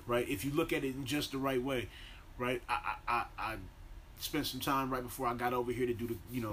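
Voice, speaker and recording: A man's voice: fairly bright tone, poor audio. An American man co-hosting a podcast, telling stories in a casual, slangy way with plenty of swearing. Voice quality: deep-voiced by own claim. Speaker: podcast co-host. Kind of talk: casual profane banter and animated storytelling. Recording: faint background noise.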